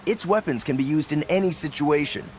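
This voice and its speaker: A man's voice: on the high side, fairly quick, darkish tone, a little hiss or hum, close to an everyday voice. A man voicing an easygoing, boyishly enthusiastic swordsman in a video game, informal and breezy. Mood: excited, argumentative. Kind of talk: English video game voice acting.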